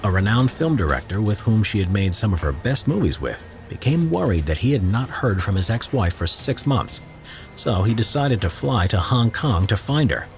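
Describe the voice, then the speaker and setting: A man narrating a history documentary in a measured, expressive, scripted storytelling style. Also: lowish voice. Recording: narration with faint music bed.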